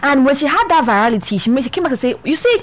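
A woman's voice: sits high, expressive, racing. A young woman with a Nigerian accent, co-hosting and telling a story in a chatty way full of restarts. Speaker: podcast co-host. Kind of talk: casual advice talk.

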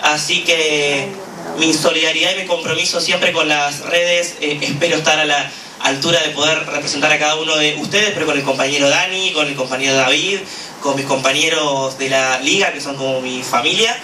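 A man's voice: a little high, steady tone, moderate pace, bright, forceful tone. Argentine guy giving a fiery political speech, lots of eh's, far from the mic, roomy echo. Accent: Argentine Spanish. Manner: fervent, with frequent "eh" fillers. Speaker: activist speaker at a political gathering. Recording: echoey room, from a distance, noisy.

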